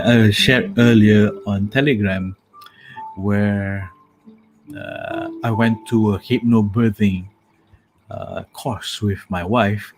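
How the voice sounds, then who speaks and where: A man with a calming, soothing voice hosts a casual bedtime talk. He speaks in a low register and very slowly, pausing often on soft fillers. Gentle music plays clearly behind him. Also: poor audio. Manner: soft-spoken, hesitant with many fillers. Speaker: host. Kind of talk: casual spoken sleep meditation talk.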